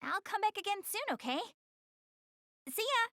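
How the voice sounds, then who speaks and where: A woman's voice: extremely high pitch, big pitch swings, normal speed, bright, forceful tone, dry recording, clean studio sound, exaggerated voice. A woman playing a proud, clumsy, secretly caring anime girl in a video game, chatty, defensive and easily flustered. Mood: casual, friendly. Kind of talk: English-dubbed anime video game cutscene acting.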